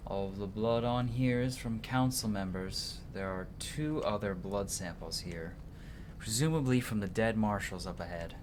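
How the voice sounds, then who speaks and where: A man's voice: fairly low pitch, moderate pace, background noise. A man doing livestream game commentary, switching between casual asides and theatrical reading of on-screen story text. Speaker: livestream gamer.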